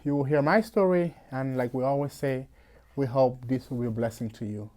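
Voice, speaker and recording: A man's voice: medium pitch, darkish tone. Earnest man telling his story. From Cameroon. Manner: earnest storytelling, halting with fillers and restarts. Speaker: host. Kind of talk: personal faith testimony.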